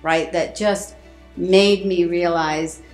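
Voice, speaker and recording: A woman's voice: on the low side, steady tone, slow pace, faint music behind. A woman giving personal interview testimony with emphatic phrasing.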